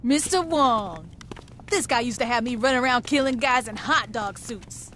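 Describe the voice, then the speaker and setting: A woman's voice: fairly high pitch, lively intonation, moderate pace, a bit bright, a little hiss or hum. A woman playing a brash, tough gang leader in a video game cutscene, blunt, wry and slangy. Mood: wry, suspicious.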